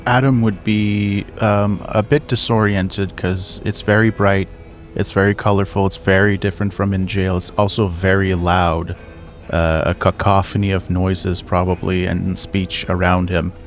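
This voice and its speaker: Man, very low voice, flat intonation, halting, tabletop game player. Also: faint music behind. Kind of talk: describing his character's actions.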